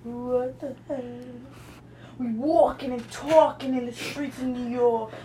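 A woman half-singing an intro in a very slow, drawn-out, singsong way. Her voice sits high and comes from a distance in a roomy, echoing space. A faint hiss runs underneath. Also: steady tone, mellow. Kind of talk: slow sung intro chant.

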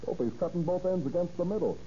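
A man's voice: high voice, lively intonation, fast talker, warm tone, slight background noise. An actor playing a brazen, wheedling young male small-time crook, argumentative and slangy, in a 1930s radio crime drama. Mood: wheedling, bargaining. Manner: slangy, argumentative tough talk. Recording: muffled old radio recording.

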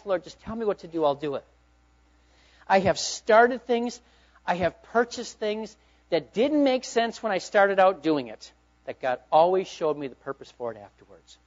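A middle-aged man preaches with a notably high voice, pressing his points with emphatic bursts and then falling to hushed asides. The recording is dull and lo-fi, with the top end muffled. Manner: emphatic preaching, swinging between loud urging and hushed asides. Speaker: pastor. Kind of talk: sermon. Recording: dull lo-fi sound.

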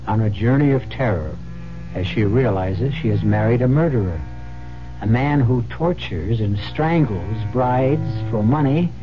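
An American man hosting a radio suspense drama, narrating in a deliberate, formal and ominous manner. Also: mid-range voice. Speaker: host and narrator. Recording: muffled old radio recording over music.

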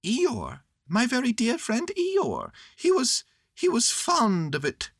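A woman voicing a humble, dreamy bear of little brain in a storybook, plaintive, polite and slow-witted. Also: fairly high pitch, huge pitch swings, gravelly, breathy edge, no echo, clean studio sound, voice pushed up, full character voice. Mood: solemn.

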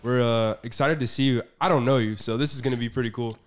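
A man's voice: medium pitch, steady tone, fast talker, over music. Easygoing male podcast host. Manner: easygoing, filler-heavy. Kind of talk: casual podcast chat.

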